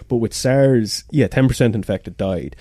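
A man's voice: mid-range voice, normal speed, warm tone, dry recording, clean studio sound. A man in casual podcast chat, explaining things hesitantly with lots of fillers and restarts.

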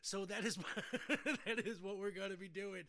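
High-pitched, bright American man hosting casually. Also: steady tone, normal speed. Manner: jokey, rambling. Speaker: podcast host. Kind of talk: casual chat.

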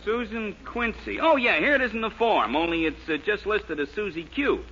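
An actor playing a blunt, streetwise small-time bookmaker in a 1940s radio comedy, talking in slang. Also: male voice, high voice, moderate pace, lightly acted. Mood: matter-of-fact. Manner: colloquial, hesitant, streetwise. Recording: muffled noisy old radio, loud audience.

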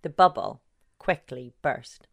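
A woman reading her own long-form article aloud in a measured, even narration. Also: rumbling low, creaky.

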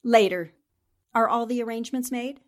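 A woman's voice: a little high, moderate pace, natural voice. A woman playing a shrewd, confident newspaper reporter with poised, theatrical delivery in a 1940s-style radio drama. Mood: brisk, wry. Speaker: voice actor.

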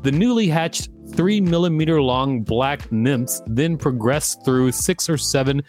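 Male podcast co-host, playful reader with mid-range pitch, faint music underneath. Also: measured pace, a little mellow. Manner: playful, joking. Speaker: podcast co-host reading encyclopedia articles. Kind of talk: read-aloud narration with casual banter.

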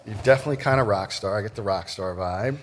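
A man's voice: lowish voice, fast talker, slight background noise. A man hosting a casual interview podcast, informal and snarky in the way he talks.

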